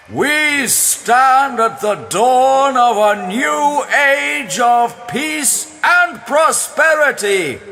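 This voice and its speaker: A man voicing a pompous middle-aged high priest, delivering grandiose, declamatory oratory to rally the masses in an audio drama. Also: sharp tone, slight background noise, full character voice. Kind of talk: shouted, drawn-out oratory.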